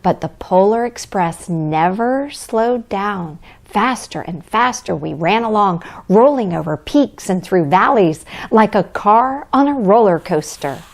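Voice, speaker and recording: A woman plays Santa's kindly wife as a storybook narrator for young children. The delivery is gentle and cheerful, taken at a leisurely pace. The melody rises and falls with plenty of expression. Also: medium pitch, expressive, measured pace, hardly any pauses. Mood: gentle, wondering. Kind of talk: children's storybook read-aloud.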